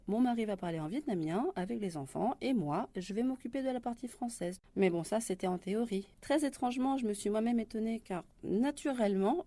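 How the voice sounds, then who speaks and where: A woman's voice: mid-range voice, dark tone, no room sound. A woman in her thirties from France, telling her own story in a reflective way with some hesitations. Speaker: interviewee. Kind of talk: personal testimony.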